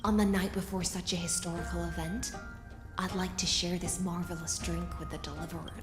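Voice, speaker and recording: A woman playing a composed, ominous noblewoman from the sea in a game cutscene, speaking in a measured, formal, cryptic way. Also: lowish voice, little pitch movement, lightly acted. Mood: brooding, calm. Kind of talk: dramatic game cutscene acting. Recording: echoey reverberant hall sound. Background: music.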